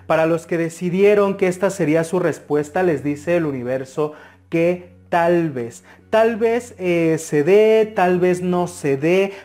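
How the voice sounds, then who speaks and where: A man with a Mexican Spanish accent reads tarot cards for his viewers in an earnest, advising way. His voice sits a little high for a man, and he often pauses with hesitations. He is recorded close to the mic at a table indoors. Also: darkish tone. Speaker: tarot reader. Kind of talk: interactive tarot reading to camera.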